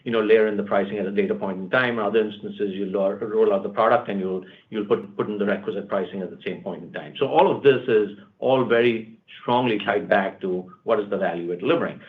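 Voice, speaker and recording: Male executive, quick delivery with restarts, tinny phone sound. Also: on the low side. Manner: fast, repeats words, leans on "look" and "at the end of the day". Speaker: company executive. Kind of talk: earnings call answers. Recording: tinny phone-line audio.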